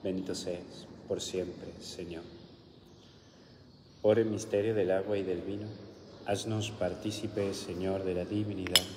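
Deep-voiced Argentine priest in echoey chapel. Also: male voice, level tone. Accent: Argentine Spanish. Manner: solemn liturgical recitation, slow and measured in prayers. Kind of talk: homily and celebrating Mass. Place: small chapel with altar, indoors. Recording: roomy hall echo with background hiss.

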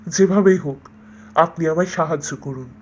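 A man's voice: fairly high pitch, normal speed, lightly acted. Man as a sorrowful, earnest fairy-tale prince. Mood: pleading, resolute. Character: heartbroken devoted young prince seeking his lost wife. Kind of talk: cartoon fairy tale voice acting.

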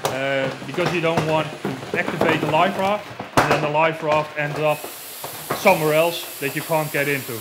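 A man's voice: fairly high pitch, steady tone, moderate pace, very noisy. A man in his thirties with a Dutch accent, giving a live stage demonstration in a marquee on a headset mic, explaining plainly with many fillers. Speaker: safety equipment rep. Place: large marquee at outdoor show.

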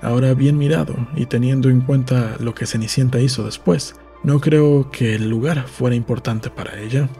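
A man's voice: medium pitch, steady tone, moderate pace, few pauses, dark tone, faint music behind. A man reading literary narration from a script, as in an audiobook. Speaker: audiobook reader.

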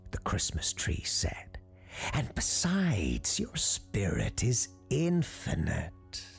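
An ancient Christmas tree spirit speaks in a slow, stately whisper that drags on every word, played by a woman. The voice sits very low and gravelly, with wide, drifting pitch, like a solemn figure out of a spoken ghost story. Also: wildly swooping pitch, faint music behind, full character voice. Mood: persuasive. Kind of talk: audio drama storytelling.

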